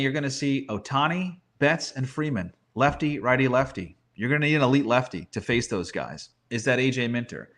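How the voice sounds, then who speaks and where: A man's voice: mid-range voice, fairly bright tone. A man giving casual sports analysis as a guest, thinking aloud and tossing in rhetorical questions.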